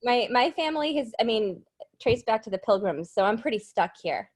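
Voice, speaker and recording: A woman's voice: on the high side, animated, moderate pace. A woman in her thirties from Cape Cod, with a light Massachusetts accent, chatting as a singer-songwriter guest in a casual interview, bubbly and self-deprecating.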